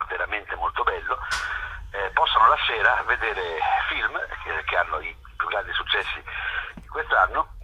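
Male phone-in interview guest, very sharp and raspy delivery, halting and repetitive. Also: on the low side. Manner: hesitant, repeats and restarts words while explaining. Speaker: festival curator and film producer, interview guest. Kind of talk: spontaneous phone interview on radio. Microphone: telephone. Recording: harsh, lo-fi telephone radio call.

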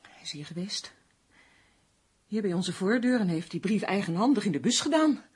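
An actress in a radio drama playing a tense, sharp-tongued crime novelist who is haughty and demanding. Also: female voice, medium pitch, animated, normal speed, husky. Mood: frightened, tense.

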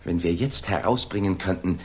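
An actor playing a loyal, earnest and slightly doubtful detective's companion in a 1960s German radio play. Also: male voice, sits low, normal speed, lightly acted. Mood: attentive, intrigued. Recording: noisy 1960s radio drama recording.

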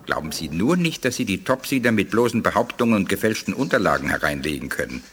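A learned, sceptical alien elder in a science fiction radio play. The voice is on the low side and moves expressively in pitch. The tone is formal, full of doubt and warning. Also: male voice, very animated, fast talker, a bit bright. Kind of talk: German science fiction radio drama acting.

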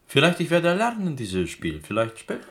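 Swooping, taunting onlooker. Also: male voice, a little high, huge pitch swings, fairly bright tone, heavily acted. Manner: broken German, drawn-out taunting delivery. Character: needling, gloating Greek man counting chess losses. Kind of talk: German radio play dialogue.

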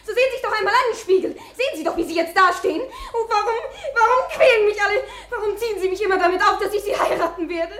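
A woman's voice: expressive, fast talker, few pauses, fairly bright tone, echoey room. An actress playing a proud, temperamental young noblewoman who talks in a mocking, imperious way, in 1950s radio play style. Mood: hysterical. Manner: high-strung, mocking, imperious.

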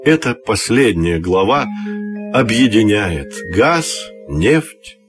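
A man narrating a scripted fairy tale for children in an expressive, deliberate storytelling manner. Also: on the low side, music in the background.

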